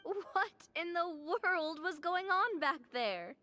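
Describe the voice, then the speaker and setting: A woman's voice: very high-pitched, expressive, slow pace, soft background music, full character voice. An actress voicing a curious, teasing young woman in game dialogue. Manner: bright and teasing.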